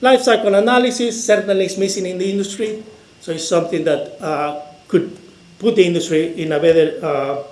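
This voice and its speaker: A man presenting research at an industry conference, hesitant, often pausing to ask "right?" and hedging with "probably". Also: sits high, darkish tone. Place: large echoey conference room. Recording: echoey conference hall.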